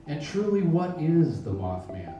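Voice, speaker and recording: A man's voice: fairly high pitch, sing-song, unhurried, muffled recording. A man in a casual interview at a festival, talking in a musing, enthusiastic way, with many fillers. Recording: echoey and distant, loud music.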